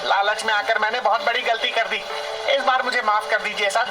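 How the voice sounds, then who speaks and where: A theatrical male street vendor from an animated moral tale, acted out by a man. His voice is high for a man and sits far from the microphone. Music plays behind him. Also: brisk, lightly acted. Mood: pleading. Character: greedy, brazen street food vendor, later humbled and remorseful. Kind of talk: animated moral story voice acting.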